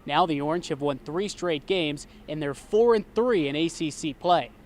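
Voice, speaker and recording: A man's voice: on the high side, normal speed. A young man reporting and calling a live basketball game in clipped announcer phrasing, as on a sports broadcast. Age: young adult. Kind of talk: live sports reporting and radio play-by-play commentary. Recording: noisy arena, crowd behind the voice. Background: crowd noise, bouncing basketball.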